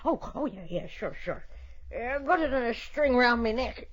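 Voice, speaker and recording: A woman's voice: fairly high pitch, animated, normal speed. Crusty old frontier woman, dull sound. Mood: flustered. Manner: folksy, evasive, muttering and sassy. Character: crusty, cagey old boarding-house woman of the Wild West. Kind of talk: old radio western acting. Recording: dull old radio recording.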